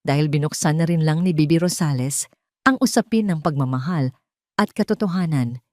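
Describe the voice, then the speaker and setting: A woman voicing a calm, cold male lawyer with a formal, measured delivery in an audio drama. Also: low-pitched, no room sound, studio quality, natural voice. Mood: sharp, icy. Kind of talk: Tagalog audio drama storytelling.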